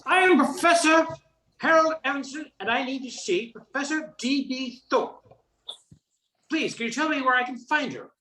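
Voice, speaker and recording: Radio play: young male professor, stiff, indignant, flustered; older woman performing, high voice, roomy sound. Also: normal speed, sharp tone. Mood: brisk, insistent. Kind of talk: amateur radio play acting.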